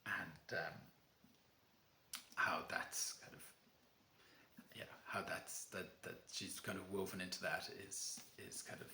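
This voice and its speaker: A man's voice: low-pitched, slow pace, vocal fry, pauses often, reverberant, slight background noise. A young man with an Irish accent, chatting casually about poetry with lots of fillers and restarts. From Ireland. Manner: relaxed, many fillers and restarts. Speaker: book channel host. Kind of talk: casual talk and poetry reading.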